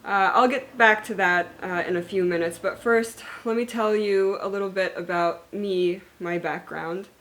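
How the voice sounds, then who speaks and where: A woman in her thirties talks to her viewers in an earnest, candid way. She was born in Germany and raised in the United States and Hungary. Her voice sits in a middle range, and she pauses and corrects herself as she goes. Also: medium pitch, fairly even intonation, fairly bright tone. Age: 30s. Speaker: vlogger sharing her illness story. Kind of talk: personal talk to camera.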